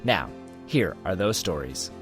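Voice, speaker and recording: A man's voice: sits low, very animated, a little slow, over music. A man reading a scripted introduction to a church message. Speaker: church announcer.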